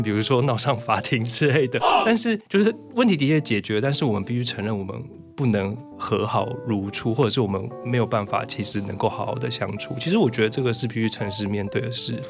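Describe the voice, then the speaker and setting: A man's voice: on the low side, fast, few pauses, music in the background. A man speaking as an author guest in a casual, reflective interview.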